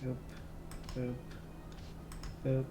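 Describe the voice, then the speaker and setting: A man's voice: drawn-out words, noisy background. A man chatting casually as he hosts a live stream while working. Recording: roomy echo, music underneath. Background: music.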